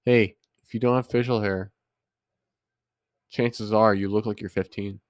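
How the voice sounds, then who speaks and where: Young guy with a cold, kinda nasal, low voice, goofing around with his wife on a podcast. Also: steady tone, measured pace, no room sound, clean studio sound. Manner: joking, teasing, rambling with many fillers. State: stuffy head cold, sniffly and nasal. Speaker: podcast co-host. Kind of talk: casual chat. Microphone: headset.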